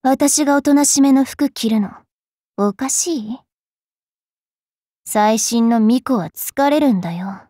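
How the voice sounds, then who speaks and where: A woman voicing a bratty, cruel young fairy witch in an anime game, haughty and taunting with a rough tongue. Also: fairly high pitch, takes it slowly, pauses often, warm tone, dry recording, clean studio sound. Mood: aloof, prickly. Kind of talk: Japanese anime game character voice lines.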